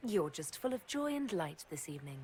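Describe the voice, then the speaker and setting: Female lead: self-possessed, dry-witted, animated melody, video game cutscene. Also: on the low side, fairly quick. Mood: sardonic. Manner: dry, sardonic wit. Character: wry, self-possessed female heroine, politely sardonic.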